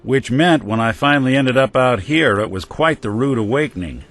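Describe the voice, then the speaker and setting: An actor playing a world-weary male synth detective in a game, talking with a gruff, laconic noir drawl. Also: medium pitch, animated, normal speed, a bit bright. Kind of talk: game companion dialogue.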